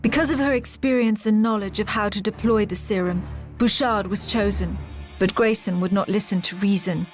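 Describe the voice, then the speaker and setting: A woman's voice: medium pitch, moderate pace, natural voice. Actress as grave female war narrator over music. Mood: grave, measured. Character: grave wartime narrator recounting a resistance campaign. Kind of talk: scripted narration.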